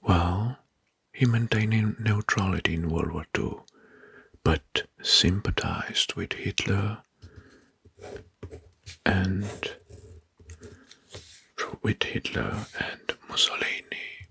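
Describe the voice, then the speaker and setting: A man narrating history as ASMR in a breathy, hushed whisper, slowly and deliberately, softly repeating words. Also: lowish voice, steady tone, no echo.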